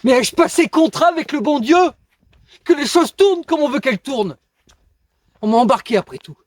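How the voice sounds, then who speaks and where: A man acting an anguished, bitter and dreamy teenage schoolboy in a declamatory theatrical monologue, swinging between outbursts and hushed musing. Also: medium pitch, a little slow, breathy edge, no room sound. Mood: agitated, indignant.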